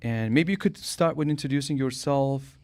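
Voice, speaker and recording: A man's voice: medium pitch, moderate pace, darkish tone. A man hosting an interview, chatty and prone to joking asides. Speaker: interview host. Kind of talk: interview conversation.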